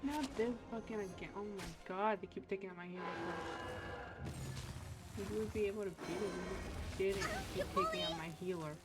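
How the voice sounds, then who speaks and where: A woman's voice: mid-range voice, very animated, normal speed. A woman giving casual, muttered gameplay commentary as she streams a video game. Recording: loud game music behind voice. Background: loud video game music.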